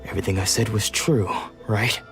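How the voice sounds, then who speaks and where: A man's voice: on the low side, steady tone, brisk, creaky, music in the background. An actor playing a weary man who lost his memories, speaking in a brooding, melancholic way. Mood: dry. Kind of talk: video game voice acting. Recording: game score behind.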